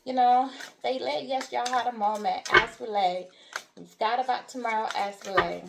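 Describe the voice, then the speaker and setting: A woman giving a spoken tarot reading to her viewers, repeating herself and checking in with "all right?" and "okay?", her voice going hoarse. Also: fairly high pitch, steady tone, normal speed, very noisy. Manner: repetitive, reassuring with frequent "all right? okay?". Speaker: tarot card reader.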